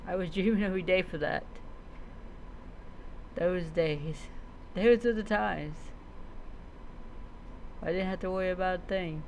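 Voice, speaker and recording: A woman telling a story in character, reading aloud haltingly with reminiscing asides. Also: fairly low pitch, a little mellow, a little hiss or hum. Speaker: roleplay streamer.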